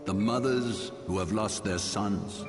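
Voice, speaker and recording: A man's voice: on the low side, takes it slowly. An actor playing a proud, stern old archbishop and grave father in a fantasy game, speaking in solemn, oratorical, archaic phrases. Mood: fervent, challenging. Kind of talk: dramatic fantasy game voice acting. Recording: long hall reverb, music underneath.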